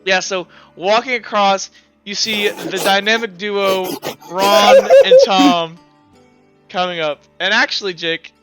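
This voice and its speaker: A man running a tabletop game, improvising narration in a halting, casual, slangy way. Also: high-pitched, fairly even intonation. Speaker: game master. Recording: muffled, music behind. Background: background music.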